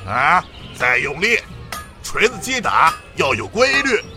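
An actor playing an elderly, gruff but hearty village blacksmith in a fantasy audio drama. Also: male voice, on the high side, sing-song, a little slow. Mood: stern. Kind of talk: Chinese audio drama acting. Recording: music under voice.

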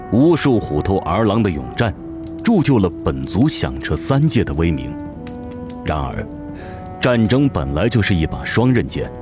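A man narrating an audio drama in an expressive, theatrical storytelling style, reading from a script. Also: fairly low pitch.

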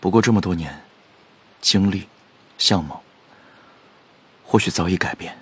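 An actor playing a quiet, restrained and wistful young man from a rich family in an audio drama. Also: sits low, unhurried, breathy edge, exaggerated voice. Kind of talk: Chinese audio drama acting.

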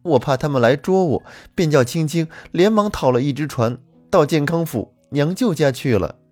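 Man doing a snake-spirit wife, raised soft voice, sweetly pleading, husky edge, dry close sound. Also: a little high, animated, normal speed, no room sound, soft background music, voice pushed up. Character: gentle, coaxing young snake-spirit wife. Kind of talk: traditional storytelling.

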